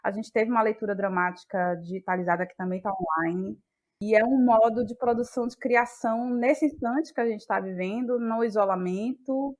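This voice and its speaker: A woman with a Bahian Brazilian accent and a medium-pitched voice talks as a guest in a reflective conversation. She is thoughtful and halting, feeling her way toward each phrase as she goes. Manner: thoughtful, halting, searching for words. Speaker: guest, playwright and actress.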